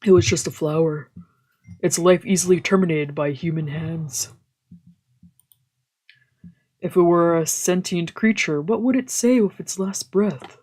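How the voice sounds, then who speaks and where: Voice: medium pitch, unhurried, long pauses, a little mellow. A streamer reading a visual novel aloud as storytelling narration, with casual musing asides. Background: visual novel background music.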